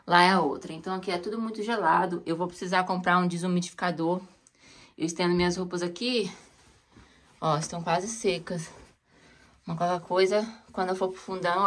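A woman's voice: fairly low pitch, a bit bright. A woman chatting to viewers as she walks through her house, pointing things out, filmed on a handheld phone. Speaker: home vlogger. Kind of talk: casual home tour chat. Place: cold stone house basement, indoors. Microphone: handheld phone camera.

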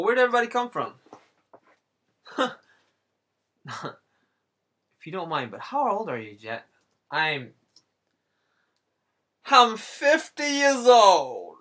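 A man's voice: sits high, fairly bright tone, slightly rough. A man in his late twenties chatting casually and jokingly while he draws, rambling and teasing his viewers. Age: 20s. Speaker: artist and channel host. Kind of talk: casual chat while drawing.

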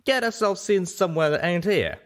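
A man's voice: high-pitched, animated, fairly quick, voice pushed up. A man voicing a loyal, plucky male pegasus cowboy with a drawling country dialect in a story reading. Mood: planning.

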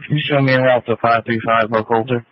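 Casting: male officer, mid-pitched, radioing dispatch through a dull, dry channel. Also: flat delivery, quick pace, no room sound. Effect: police radio. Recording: dull lo-fi police radio transmission.

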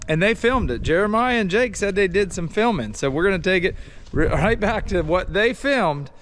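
A man's voice: sits high, lively intonation, moderate pace, a bit bright, slight background noise. A man, a father vlogging a hunting trip outdoors in a folksy, upbeat way on a camera mic. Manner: folksy, upbeat, talks to viewers. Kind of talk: casual outdoor vlog narration. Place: outdoors in woods and fields. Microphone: action camera held or worn.

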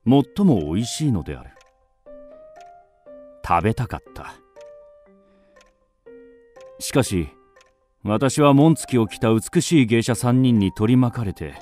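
A man's voice: mid-range voice, a little mellow. An actor playing a vain, evasive young male student in a radio drama, theatrical and awkward. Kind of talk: radio drama acting with inner monologue.

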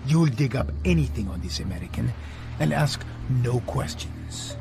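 Action game lead: a hard-boiled gun for hire, gravelly, laconic, music underneath. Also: male voice, medium pitch, lively intonation, moderate pace. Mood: cool, terse. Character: tough, terse male mercenary agent in his thirties. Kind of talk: video game cutscene acting.

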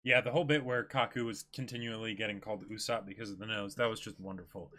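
A young man co-hosting a livestream in casual discussion, thinking aloud in halting, broken phrases. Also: on the low side, fairly even intonation, sharp tone. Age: young adult. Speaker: co-host. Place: small indoor room, seated.

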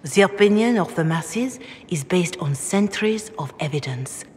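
A woman's voice: lowish voice, expressive, normal speed, a little mellow, natural voice. An actress playing a solemn, formal revered mother in a fantasy game, deferential yet guarded. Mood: disapproving. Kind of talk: video game cutscene dialogue. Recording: long reverb, noisy ambience behind.

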